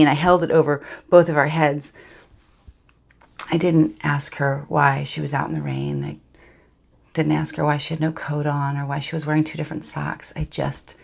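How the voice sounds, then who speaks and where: Monologue role: wistful girl played by a woman, intimate, hushed recollection, deep register with plenty of fry. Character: wistful girl recalling a lonely childhood friend. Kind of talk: dramatic monologue, intimate storytelling.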